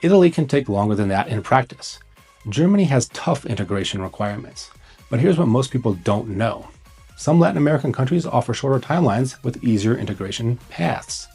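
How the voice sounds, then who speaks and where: A man's voice: mid-range voice, lively intonation, brisk, dark tone, breathy edge, soft background music. A man presenting scripted advice to camera as a host, speaking bluntly and urgently in short, punchy, emphatic phrases. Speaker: host, immigration consultant.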